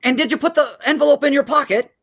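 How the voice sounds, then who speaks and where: A man's voice: very high-pitched, fast talker, a bit bright. An actor playing a frantic, bitter family man in a radio play, volatile and agitated. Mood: urgent. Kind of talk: old-fashioned radio play acting.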